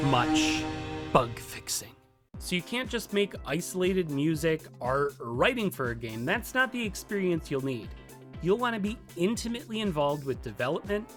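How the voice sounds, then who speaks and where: A man's voice: fairly high pitch, a bit bright. A man narrating a scripted explainer as a tutorial host, with playful, emphatic asides. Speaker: host of a game development tutorial. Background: background music.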